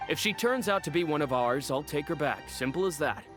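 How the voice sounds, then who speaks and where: A man's voice: on the high side, lively intonation, brisk, music in the background, close to an everyday voice. An actor playing an evasive, polite male animal shelter director in a video game. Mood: defensive, explaining. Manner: hesitant, explaining, polite. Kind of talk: English game dub dialogue.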